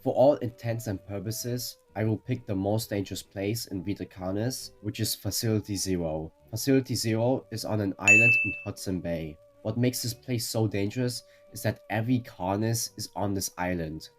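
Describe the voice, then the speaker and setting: A man's voice: on the low side, moderate pace, few pauses, fairly bright tone. A man reading scripted explainer narration in a matter-of-fact, orderly way. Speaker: narrator of a ranking video. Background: background music.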